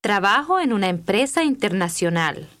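A woman reading scripted language-course dialogue with clear, deliberate enunciation. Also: medium pitch, very animated, fairly bright tone.

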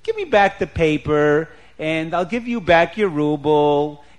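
A man's voice: a little high, sing-song, unhurried, poor audio. A man voicing a smug joking businessman who blusters, stammers and wheedles, in an oral story. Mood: wheedling.

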